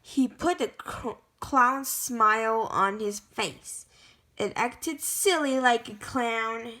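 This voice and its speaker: A woman reading a picture book aloud in a careful, halting way, often stopping to correct herself. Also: fairly high pitch, bright, forceful tone. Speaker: storybook reader.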